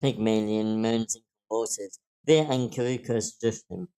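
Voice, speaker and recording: A man's voice: fairly low pitch, fairly even intonation, unhurried, dark tone, smooth. A man reading stage directions aloud as narrator at an amateur script table read.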